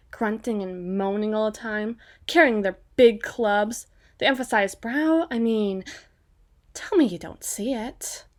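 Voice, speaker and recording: A woman's voice: mid-range voice, natural voice. A woman playing a small, proper, cocky female vampire in an ASMR roleplay, chatty and teasing in a playfully prim way. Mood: chatty, amused. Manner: playfully prim, teasing, with drawn-out phrases and asides.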